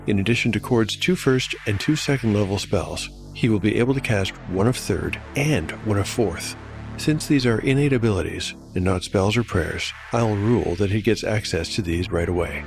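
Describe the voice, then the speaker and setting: A man working as solo game master, narrating a scripted fantasy audio drama and commenting on the game. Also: fairly low pitch.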